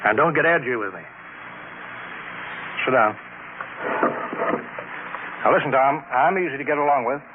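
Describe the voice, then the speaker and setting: An actor playing an overbearing, gruff senior official who barks in a brusque, domineering way, in a 1950s radio drama style. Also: male voice, medium pitch, normal speed, pauses often, lightly acted. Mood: stern, domineering. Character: overbearing, gruff, bigoted colonial senior resident boss. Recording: muffled old radio recording, faint hiss.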